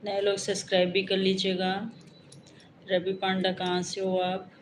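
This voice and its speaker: Slow, level-voiced woman live-streaming. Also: medium pitch, a little hiss or hum, low-quality recording. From Uttar Pradesh, India. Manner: calm, greets commenters by name and asks for likes. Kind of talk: casual live-stream chat greeting viewers.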